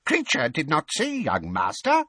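A man voicing a bitter old male house elf who mutters hoarsely and resentfully under his breath. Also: wildly swooping pitch, a little slow, sharp tone, no echo, recorded from a distance, full character voice. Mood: sly resentful. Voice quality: hoarse, deep, croaking.

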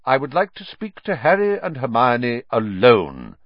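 A man playing a calm old wizard headmaster in an audiobook, quiet, measured and authoritative. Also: medium pitch, no room sound, highs cut off, voice pushed up. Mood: firm command.

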